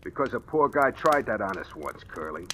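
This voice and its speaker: A man's voice: on the low side, sing-song, normal speed. An actor playing a big, menacing hired killer with mocking, slangy tough-guy talk in a 1940s radio thriller. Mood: mocking menace. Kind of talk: 1940s radio gangster acting. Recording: old-time radio recording, faint music.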